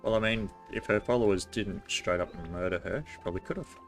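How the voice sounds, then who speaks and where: A male game streamer with a fairly low voice chats informally over his play. He thinks aloud in an offhand way, with faint music behind him. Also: a little fast. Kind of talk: casual livestream commentary.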